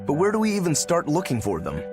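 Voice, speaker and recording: Animated, pragmatic male adventurer. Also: on the high side, fast talker, music in the background. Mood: determined. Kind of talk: video game cutscene voice acting.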